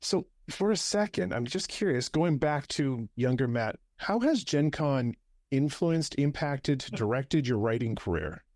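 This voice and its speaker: A man's voice: medium pitch, lively intonation, measured pace, a little mellow, dry recording, studio quality. A man hosting a casual interview, upbeat and asking friendly open questions. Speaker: podcast host.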